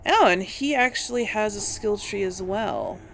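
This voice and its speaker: A woman's voice: medium pitch, lively intonation, measured pace, vocal fry, a little hiss or hum, rough recording. A woman giving casual game commentary, thinking aloud in a hesitant way. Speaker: video game player narrating a role play. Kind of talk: casual let's play commentary.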